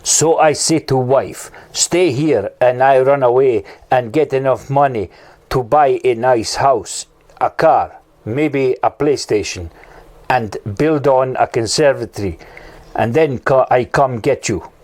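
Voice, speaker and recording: A man playing a melodramatic runaway who speaks in loud, slow broken English, in a comedy sketch. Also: medium pitch, lightly acted. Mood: declaiming.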